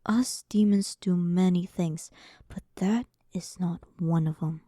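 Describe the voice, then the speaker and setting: Woman doing a haughty demon queen for roleplay audio, really dark mellow voice, slow n teasing, kinda breathy, dry sarcasm. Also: on the low side, steady tone, no room sound. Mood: coaxing, smug. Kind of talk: scripted roleplay audio, intimate one-sided dialogue.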